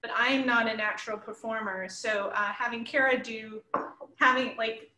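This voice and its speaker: Woman in her 30s chatting on a video call, bright sharp voice, lots of room echo. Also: on the high side, steady tone, normal speed. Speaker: comics creator and panel guest. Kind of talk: casual panel chat. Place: indoors at home, room with bookshelf, video call. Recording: echoey room, shared mic.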